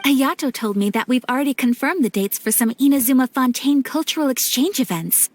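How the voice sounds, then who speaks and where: A high-voiced refined noblewoman. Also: expressive, fairly quick. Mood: gracious. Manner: courteous, formal. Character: polite, refined young noblewoman. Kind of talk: video game character acting.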